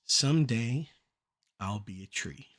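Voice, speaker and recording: A middle-aged man performing rhymed spoken-word poetry in a measured, deliberate delivery with short phrases. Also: mid-range voice, lively intonation, fairly bright tone.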